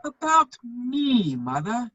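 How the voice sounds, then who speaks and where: A man playing a witty, loyal gentleman bachelor with a husky voice, declaiming earnestly in a theatrical reading of a period comedy. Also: very high-pitched, huge pitch swings, takes it slowly, no echo, highs cut off, full character voice. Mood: dry, wry.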